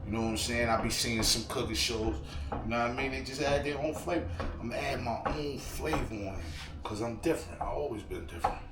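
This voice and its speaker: A man with a New York accent and a slightly hoarse, froggy voice, chatting casually to viewers while he cooks in his home kitchen. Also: mid-range voice, brisk. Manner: laid-back slangy chatter to viewers. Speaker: home cooking vlogger. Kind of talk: casual cooking vlog talk. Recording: echoey room with background noise.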